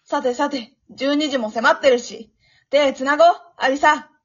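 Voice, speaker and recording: A woman acting a bubbly, pushy, affectionate teenage girl in an amateur radio drama, with a cutesy, expressive delivery. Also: high voice, moderate pace, lightly acted. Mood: eager, pushy. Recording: dull, lo-fi audio.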